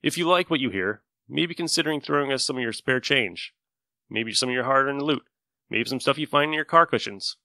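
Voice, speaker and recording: A man's voice: medium pitch, brisk, no echo. A man hosting a podcast, giving a casual introduction. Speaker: podcast host. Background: loud music.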